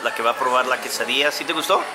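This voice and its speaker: A man's voice: mid-range voice, very fast, over a noisy crowd. A man in his forties from Mexico, chatting casually through a food vlog as he tastes dishes in a small restaurant, humming as he eats. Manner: chatty, relaxed, savoring food with hums. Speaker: food vlogger. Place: small restaurant, partly open-air. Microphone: handheld camera at arm's length. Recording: loud background noise.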